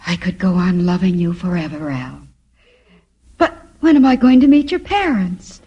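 An adoring office secretary in love. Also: female voice, fairly low pitch, animated, normal speed, breathy edge, lo-fi sound. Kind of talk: 1950s radio comedy acting.

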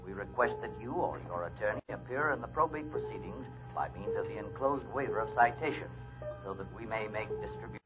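An actor playing a businesslike lawyer in a 1950s radio drama, declaiming an official letter in measured, formal tones. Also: male voice, lowish voice, natural voice. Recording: dull vintage radio, music behind.

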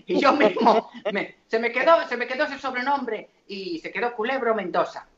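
A man's voice: very high-pitched, normal speed, over a noisy crowd. Singer-comedian guest, man, raised in the Dominican Republic; hesitant, restarts a lot; roomy, dull sound. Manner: hesitant, many fillers and restarts. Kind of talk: casual interview chat. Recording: echoey and dull.

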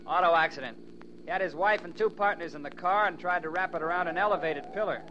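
An actor playing a brisk, matter-of-fact ambulance doctor in 1940s radio drama style. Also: male voice, on the high side, bright, forceful tone. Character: brisk male ambulance doctor rattled by a death. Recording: dull, noisy 1940s radio recording.

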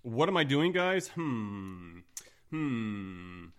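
A deep-voiced man in his thirties from Canada co-hosts a podcast with easy, rambling chatter. His voice sits at an ordinary pitch for a man, and his phrases often trail off quietly at the ends. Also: big pitch swings, very slow, clean tone. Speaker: podcast co-host. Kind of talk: casual chat.

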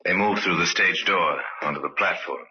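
A man narrating an old-time radio crime mystery in a grave, measured, dramatic storytelling manner. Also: low voice, lightly acted. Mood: grave, intriguing. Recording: dull old radio recording.

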